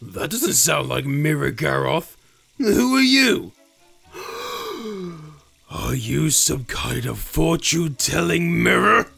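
A man voicing a vain, earnest, theatrical young man with a husky voice in an animated roleplay. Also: fairly high pitch, slow, full character voice. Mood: startled. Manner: melodramatic, self-admiring. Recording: background music under dialogue.

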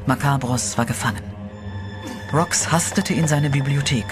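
A man narrating a horror radio play in a steady, dramatic storytelling voice, with eerie music behind him. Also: mid-range voice, normal speed.

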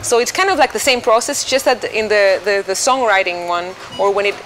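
A woman's voice: medium pitch, a little fast, low-quality recording. A woman in her thirties with a Greek accent, a musician answering interview questions thoughtfully, with many fillers and restarts. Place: dim restaurant or bar, indoors. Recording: soft music underneath.

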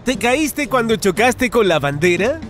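A man voicing an excitable, friendly helper in a children's cartoon, talking in simple, encouraging exclamations. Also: sits high, a little slow. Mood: excited. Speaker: cartoon narrator and character. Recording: cartoon music under the voice.

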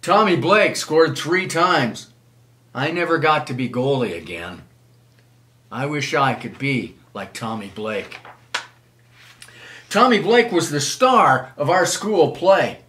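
Older man, slow animated storyteller. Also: on the high side. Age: older, 70s. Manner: expressive, measured storyteller phrasing. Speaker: children's author reading own book. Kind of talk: storybook read-aloud for children. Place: small wood-panelled room, indoors.

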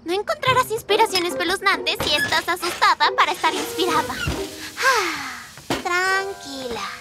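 A cartoon girl baker, upbeat but easily spooked, who talks herself through her nerves. The voice sits very high and leaps around lively, with loud music underneath. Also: normal speed. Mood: anxious self-talk. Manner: chatty, nervous self-talk. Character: cheerful jittery young girl baker. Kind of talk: cartoon dub acting. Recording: music bed under the dialogue.

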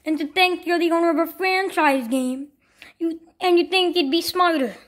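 A woman's voice: extremely high pitch, normal speed. A woman playing a scornful, easily offended big cartoon gorilla in an improvised toy play skit.

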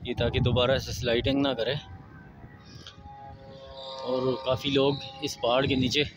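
A man with a Pakistani Urdu accent, walking a mountain road and calmly pointing out sights to his viewers. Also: mid-range voice, over music. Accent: Pakistani Hindko-area Urdu. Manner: unhurried, pointing things out to viewers. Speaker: travel vlogger. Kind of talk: casual walking tour narration.

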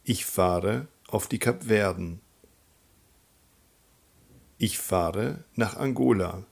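A man teaching a German lesson, calmly naming countries and repeating example sentences for learners to follow. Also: on the low side, drawn-out words, vocal fry. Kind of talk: slow, clear teaching with drill repetitions.

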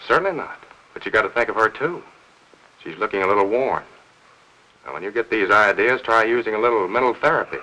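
An actor playing a concerned, persuasive family doctor in a 1950s film melodrama, with an American accent. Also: male voice, fairly low pitch, lively intonation, a little fast, sharp tone, low-quality recording. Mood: earnest counsel. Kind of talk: 1950s Hollywood melodrama acting. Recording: old 1950s film soundtrack.

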